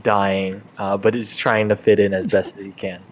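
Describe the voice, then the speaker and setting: A man playing a tabletop role-playing game, describing things casually in a halting, hesitant way. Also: on the low side, little pitch movement, a little hiss or hum.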